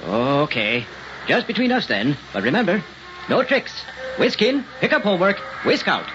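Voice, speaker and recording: An actor playing a fretful, gruff but soft-hearted old night watchman in a radio drama, speaking in short clipped phrases. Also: high voice, very animated, moderate pace. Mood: reluctant. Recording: muffled, noisy old radio recording.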